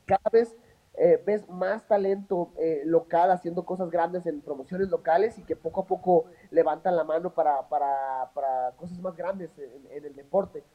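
A Mexican man with a Mexican accent, a fighter talking as an interview guest in a relaxed, chatty way, full of filler words. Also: a little high, moderate pace, rough recording. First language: Spanish. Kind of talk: casual interview chat.

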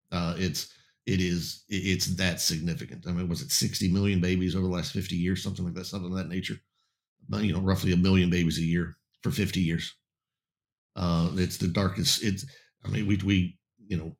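A man with a very low, deep voice hosts a casual talk show, his throat going raspy as he loses his voice. He talks haltingly, stacking fillers and false starts, then turns blunt and emphatic. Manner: halting, many fillers and restarts. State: losing his voice, getting raspy. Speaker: host.

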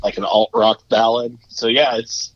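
A man with a low voice, a musician guest talking casually in an interview, rambling and self-deprecating. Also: level tone, a little slow, dull audio.

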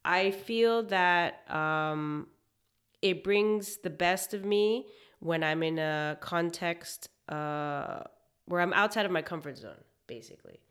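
A young woman from Hong Kong, a chef, talking reflectively in a casual interview with many pauses and fillers. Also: on the low side, fairly bright tone. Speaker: guest, chef.

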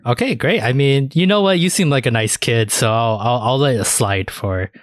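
Man acting as young male librarian, bemused, indulgent, halting delivery, dry close sound, improv comedy. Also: mid-range voice, dry recording, natural voice. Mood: friendly, indulgent. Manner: halting, repeats words, bemused.

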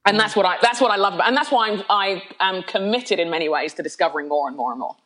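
A woman's voice: medium pitch, quick pace, a bit bright. A middle-aged woman from London, a pastor and podcast guest, talking candidly with energy and frequent laughs, often restarting her sentences. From London, of Nigerian heritage. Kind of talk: candid conversational interview.

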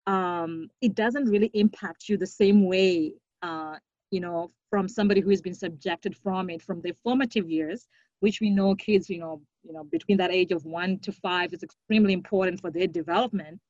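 A young woman from Zimbabwe, a podcast guest, speaking earnestly and reflectively, now and then with a soft laugh. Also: on the low side, normal speed, no echo, highs cut off. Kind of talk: conversational interview.

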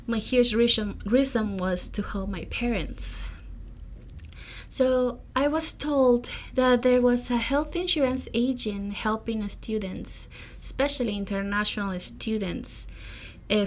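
A woman's voice: medium pitch, a little hiss or hum. A young woman from Peru, a native Spanish speaker with a Peruvian accent, earnestly telling her personal story as a podcast guest, with some fillers and restarts.

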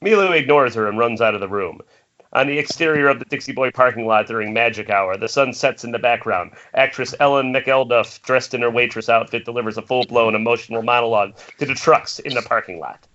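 Bright-voiced man narrating a script. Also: mid-range voice, a little fast, a little hiss or hum. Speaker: narrator reading stage directions. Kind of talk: scripted narration at an amateur table read. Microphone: remote call from home. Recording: muffled internet call audio.

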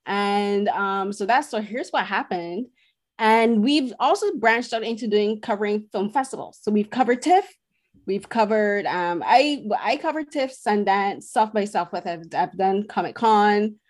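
A woman's voice: medium pitch, a little slow. Woman guest critic, earnest, talks at length, mid-range voice. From Barbados. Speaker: film critic, podcast guest. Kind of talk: casual podcast conversation.

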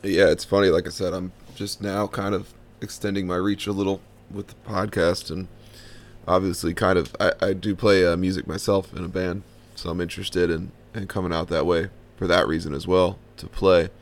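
A man from the Washington DC–Baltimore area hosting a podcast, with a bassy baritone voice, laid-back and chatting with short agreeing replies. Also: flat delivery, moderate pace. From Washington DC–Baltimore area, USA. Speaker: podcast host. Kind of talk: casual podcast interview.

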